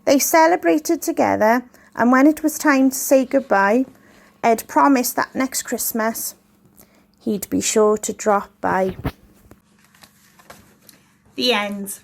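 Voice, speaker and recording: A woman reading a rhyming story aloud to children in a measured, expressive way. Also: fairly high pitch, creaky. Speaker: school staff member reading a story.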